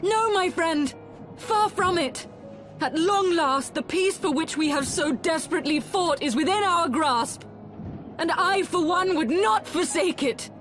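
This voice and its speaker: High-pitched, impassioned rebel elf woman. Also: unhurried, a bit bright, soft background music. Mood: solemn, earnest. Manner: oratorical, formal archaic phrasing. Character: impassioned elven rebel leader woman, noble and resolute. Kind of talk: dramatic fantasy video game acting.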